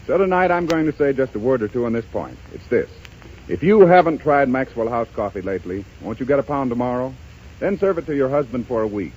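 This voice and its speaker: A man's voice: mid-range voice, very animated, brisk, darkish tone, slight background noise. An American man announcing a scripted 1930s radio advertisement in a persuasive, emphatic way. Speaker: commercial announcer. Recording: muffled, rough 1930s radio recording.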